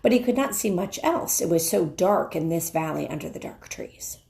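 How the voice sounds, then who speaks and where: A woman's voice: lowish voice, expressive, vocal fry, warm tone. A middle-aged woman, a teacher, reading a storybook aloud expressively and stopping to explain it to her listeners. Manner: deliberate, pauses to explain to listeners. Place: indoors, wood-panelled room.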